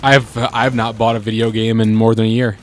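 A man's voice: lowish voice, little pitch movement, fairly quick. A man casually chatting while playing a video game, joking and laughing often. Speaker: let's play host. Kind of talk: casual gameplay chat. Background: game music.

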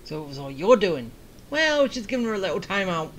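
A woman's voice: mid-range voice, sing-song, fairly quick, a little hiss or hum. A woman giving casual commentary as she plays through a game story, reacting aloud. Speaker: gamer playing through a story.

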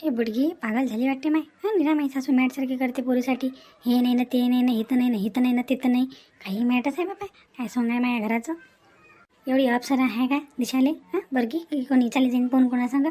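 A woman's voice: high-pitched, fairly even intonation, fairly quick, warm tone. A woman playing a spiteful daughter-in-law in a cartoon family drama, muttering sarcastic complaints with a Varhadi Marathi accent. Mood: scornful muttering. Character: spiteful grumbling daughter-in-law resenting her husband's family.

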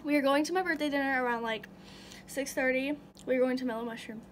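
A woman's voice: sits high, fast talker. A teenage girl giving casual, brief answers in conversation. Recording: echoey room with crowd noise.

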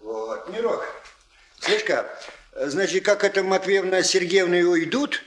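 An actor playing a well-meaning, fussing father in a Soviet radio play, talking in a halting, rambling, folksy way. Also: sits high, fairly bright tone, a little hiss or hum. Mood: fussing, caring.

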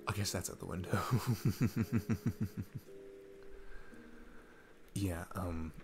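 A man playing a shy, sweet single dad smitten with his babysitter, speaking gently and haltingly in a romantic ASMR roleplay. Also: low voice. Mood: flustered, shy.

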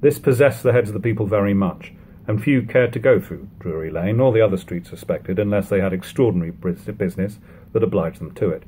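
A man in his thirties giving a measured, even reading of old prose at home on a webcam. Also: fairly low pitch, slight background noise. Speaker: reader of a classic book. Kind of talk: unhurried audiobook reading. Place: living room at home.

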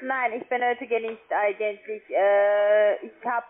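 A woman's voice: medium pitch, fairly even intonation, slow. A woman speaking politely as a non-native speaker, working as a call-center account manager. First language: not German. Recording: tinny narrow phone line.

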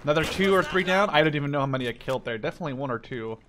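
A man in his twenties giving casual gameplay commentary, excitable and rambling, with frequent swearing. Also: fairly high pitch, rapid-fire. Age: 20s. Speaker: video game streamer. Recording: gunfire and game noise behind voice. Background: video game gunfire.

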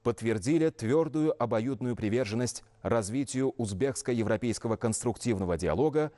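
A man in his thirties reading scripted news as an anchor in a formal, measured, official manner. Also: mid-range voice, mellow. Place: television news studio.

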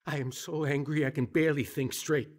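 Man acting a betrayed father, middle-aged, high voice, shaky halting delivery, radio drama. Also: steady tone, lightly acted. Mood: shaken, bitter.

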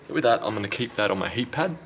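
A man's voice: on the low side, expressive, quick pace, background noise. A man talking casually and matter-of-factly through a hands-on repair tutorial, step by step, as a technician working at a bench. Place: indoor repair workbench.

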